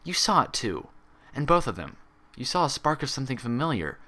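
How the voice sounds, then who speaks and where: A man's voice: mid-range voice, big pitch swings, a little fast, bright, forceful tone, voice pushed up. A man voicing an anguished young female pony in a fan story, scolding in an accusatory, emotional, emphatic way. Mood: insistent.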